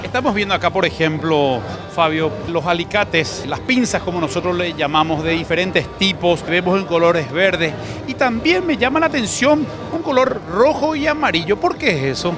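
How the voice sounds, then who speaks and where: Casting: male TV interviewer, very high voice, reporting from an expo stand over music. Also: expressive, normal speed. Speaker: interviewer, TV show host. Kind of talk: on-location trade show interview. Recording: music under the voice. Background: background music.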